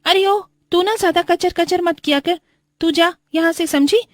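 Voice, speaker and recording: A woman's voice: very high-pitched, normal speed, dry recording, clean studio sound, voice pushed up. A woman voicing an arrogant, spoiled rich young daughter-in-law in a cartoon moral story, scornful and bossy.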